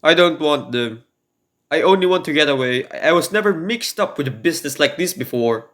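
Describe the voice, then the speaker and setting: Man acting a desperate, halting prisoner pleading innocence. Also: a little high, expressive, a bit bright. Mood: anxious. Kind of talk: amateur radio drama narration.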